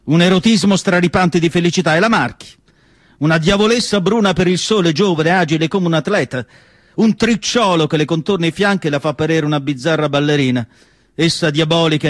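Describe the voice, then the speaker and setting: A man performing a theatrical literary monologue, declamatory, with deliberate phrasing and pauses. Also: fairly high pitch, no room sound, clean studio sound. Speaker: stage actor reading literary prose. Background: music.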